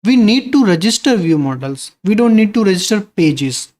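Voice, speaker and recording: A man's voice: high-pitched, very animated, normal speed, darkish tone. Man answering chat on a stream. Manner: reads viewer questions aloud and answers conversationally. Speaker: live-coding streamer.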